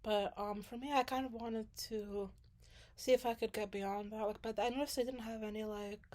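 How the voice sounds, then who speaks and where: A woman's voice: a little high, steady tone, normal speed, darkish tone. A young woman from Sudan chatting casually as a graduate student, rambling with many fillers and restarts. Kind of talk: casual chat.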